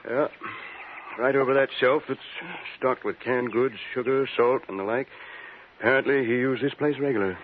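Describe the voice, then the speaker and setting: A folksy American small-town sheriff played by a male actor in old radio drama. He talks in a halting, deliberate way at a middling pitch, over a tinny, faintly hissy 1950s recording. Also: steady tone, natural voice. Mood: musing, matter-of-fact.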